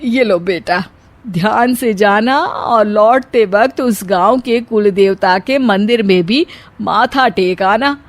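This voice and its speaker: A woman's voice: medium pitch, slow, dry recording, lightly acted. A woman voicing a bossy, thrifty older mother-in-law in a cartoon story, giving matter-of-fact instructions. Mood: instructing.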